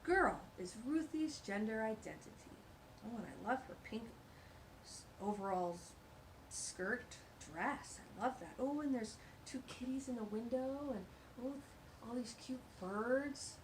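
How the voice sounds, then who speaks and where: A woman's voice: fairly high pitch, animated, a little slow, slight background noise, poor audio. A woman hosting a children's storytime, reading a picture book aloud with gushing, exclamatory asides.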